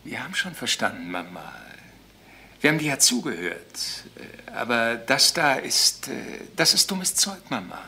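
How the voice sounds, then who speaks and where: Crime drama son: medium-pitched, very varied intonation, pedantic, patronising, formal, on a faintly noisy old soundtrack. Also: male voice, very animated, moderate pace, sharp tone, full character voice. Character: pompous, patronising adult son, coolly reasoning, fussy. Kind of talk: naturalistic German crime drama acting.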